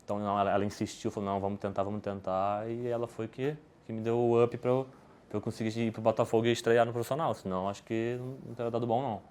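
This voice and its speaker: Fast-talking man with a lowish voice. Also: flat delivery, darkish tone, clean tone. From Brazil. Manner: rapid, rambling, lots of restarts and tag questions. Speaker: podcast guest, poker player. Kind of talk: casual interview answers.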